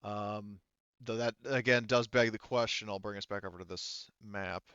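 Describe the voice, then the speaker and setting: Low, dull-sounding man running a tabletop game. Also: steady tone, moderate pace, fairly bright tone, clean tone, dry recording. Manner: informal, thinking aloud. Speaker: game master. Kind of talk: casual chat running a tabletop roleplaying game.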